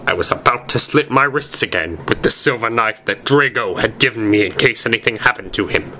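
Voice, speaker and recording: A man's voice: fairly low pitch, fairly quick, sharp tone, a little hiss or hum. A man narrating fan fiction aloud in a theatrical reading style.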